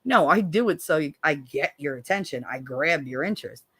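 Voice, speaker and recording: A woman's voice: animated, brisk, husky, no room sound. An American woman hosting a casual livestream, reading viewer comments and talking bluntly, with profanity and sarcastic jabs. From United States. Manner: blunt and profane, swings from low weary tones to sharp sarcastic jabs. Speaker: livestream host.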